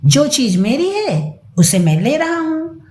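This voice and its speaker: A woman's voice: high-pitched, big pitch swings, takes it slowly, darkish tone, voice pushed up, exaggerated voice. A woman voicing a shameless, entitled grown son with a brazen, irritated manner in an audio story. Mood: sarcastic. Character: shameless, entitled adult son demanding his inheritance. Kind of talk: dramatised audio story reading. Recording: roomy echo.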